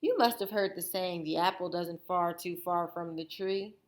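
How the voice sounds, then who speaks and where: A woman's voice: on the low side, normal speed, close to an everyday voice. A woman voicing a vain, self-admiring old granny in a storybook read-aloud. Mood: vain, fluttery.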